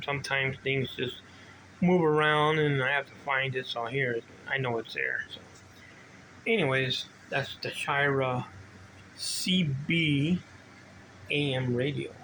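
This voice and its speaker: Older man, bright voice, rambling and informal. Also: medium pitch, unhurried, rough recording. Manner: rambling, informal, drifting between asides. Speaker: vintage bike collector demonstrating his gear. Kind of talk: casual rambling show-and-tell vlog. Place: indoor home workshop room. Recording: noticeable background noise.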